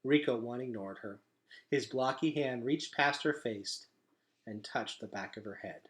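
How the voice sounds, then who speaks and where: A man narrating a children's storybook aloud in a dramatic, emphatic way, dropping to a hush at times. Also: medium pitch, unhurried. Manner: dramatic, emphatic delivery with hushed drops.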